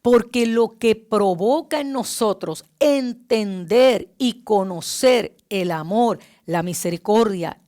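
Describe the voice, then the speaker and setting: A woman preaching a devotional sermon in a deliberate, emphatic way, with repeated phrasing and rhetorical questions. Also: mid-range voice, darkish tone.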